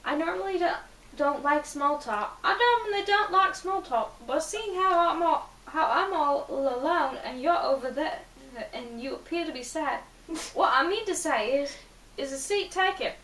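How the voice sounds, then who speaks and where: A woman's voice: high voice, lively intonation, moderate pace, a bit bright, voice pushed up. A woman voicing an awkward, polite young man in a romance story, hesitant and rambling. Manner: hesitant, rambling, repeats himself. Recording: distant mic, roomy echo, faint noise.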